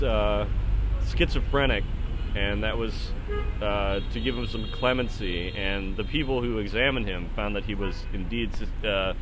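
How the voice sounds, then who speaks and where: A man's voice: on the low side, noisy background. A man in his thirties giving casual, rambling spoken commentary in a hesitant, musing way that often trails off. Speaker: solo podcast host.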